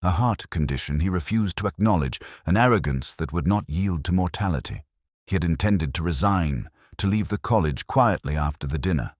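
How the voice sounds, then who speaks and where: A man reading a scripted first-person detective story in a measured, reflective, literary manner. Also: sits low, expressive, dry recording, clean studio sound. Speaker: narrator.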